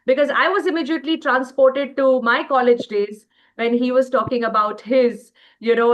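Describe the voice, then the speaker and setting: A woman's voice: fairly high pitch, fairly bright tone. Woman hosting a speaking session. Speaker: host.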